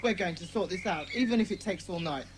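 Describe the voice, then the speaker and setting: A woman's voice: on the low side, fast, slight background noise, natural voice. A woman acting a bossy, sensible schoolboy club leader in a dramatised children's audiobook. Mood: decisive. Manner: commanding, sensible.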